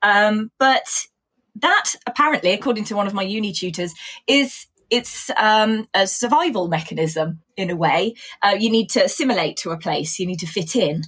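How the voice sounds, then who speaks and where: Husky English teacher, a woman with a mixed accent. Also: medium pitch, expressive, normal speed, fairly bright tone. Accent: mixed English, with traces of Coventry. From England. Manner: thoughtful, explanatory, many "you know"s. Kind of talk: casual interview chat.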